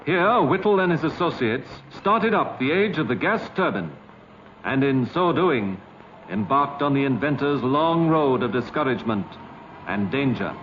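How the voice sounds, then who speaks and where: A man's voice: medium pitch, animated, normal speed, fairly bright tone, a little hiss or hum. A British man reading formal, literary, dramatic narration in the style of a 1950s documentary. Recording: dull vintage film soundtrack with music.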